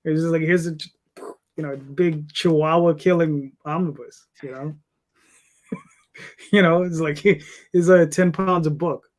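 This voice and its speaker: A man in his fifties with a fairly high-pitched voice, speaking casually as a comic creator being interviewed. He rambles through his thoughts in a loose, conversational way. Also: steady tone, a little slow, pauses often. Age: 50s.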